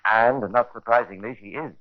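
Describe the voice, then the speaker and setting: An actor playing a sardonic, macabre storyteller, narrating a 1950s radio crime drama with ironic relish and deliberate phrasing. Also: male voice, mid-range voice, lightly acted. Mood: sardonic, ominous. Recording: dull vintage radio recording.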